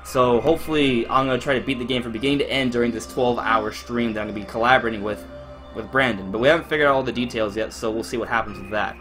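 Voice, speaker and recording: A man's voice: mid-range voice, fairly even intonation, quick pace, fairly bright tone, faint music behind. A man in his twenties from Miami hosting a live Q&A stream, rambling casually with lots of fillers and restarts as he talks to his chat. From Miami, Florida, USA. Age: 20s. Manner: rambling and chatty, many fillers and restarts, addresses chat directly.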